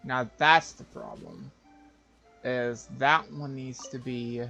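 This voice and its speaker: A man's voice: mid-range voice, fairly even intonation, takes it slowly, vocal fry, bright, forceful tone. A man livestreaming a video game, musing aloud in casual, hesitant commentary. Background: game music.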